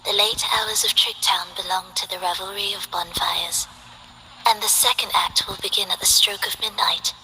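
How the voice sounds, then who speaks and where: A woman voicing a playful female conductor who hosts a city's night revels, in game voice lines, speaking in a hushed, teasing, theatrical way. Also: mid-range voice, steady tone, normal speed, long reverb tail, slight background noise, poor audio.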